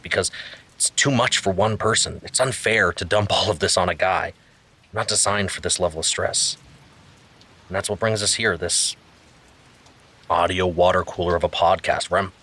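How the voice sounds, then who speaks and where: A man playing an anxious, overwhelmed narrator of a podcast mystery, hesitant and confiding, with a husky voice. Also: deep voice, bright, forceful tone, exaggerated voice.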